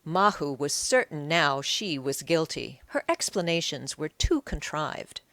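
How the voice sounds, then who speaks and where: A woman's voice: low-pitched, expressive, unhurried, a bit bright, no room sound. A woman narrating an audiobook in a dramatic, scripted storytelling style.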